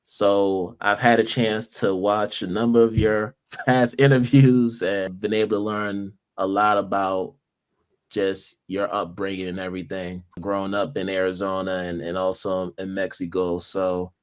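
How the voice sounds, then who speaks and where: Male podcast host, low voice, upbeat and welcoming, conversational. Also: level tone, a little slow, clear, resonant tone. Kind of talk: conversational interview.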